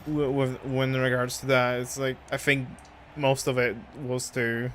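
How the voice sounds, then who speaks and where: A man from Poland, a native Polish speaker with a Polish accent, casually chatting with viewers while playing a game, halting and restarting his words. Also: mid-range voice, slight background noise. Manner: relaxed, halting, frequent restarts. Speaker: streamer. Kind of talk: casual live-stream chat while gaming.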